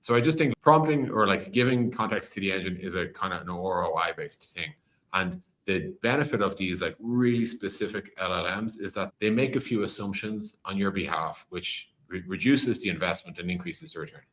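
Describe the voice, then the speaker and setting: A man's voice: sits low, moderate pace, fairly bright tone. A man speaking as a podcast guest in a conversational tech interview, explaining animatedly with analogies and quoted examples.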